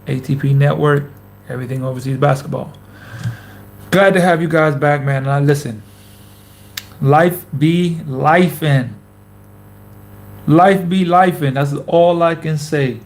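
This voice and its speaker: Gravelly 30s guy doing a motivational vlog to his camera, keeps repeating himself for emphasis. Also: fairly high pitch, measured pace, pauses often. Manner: repetitive, emphatic, slangy. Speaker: host, former pro basketball player. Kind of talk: casual motivational vlog talk. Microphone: camera mic.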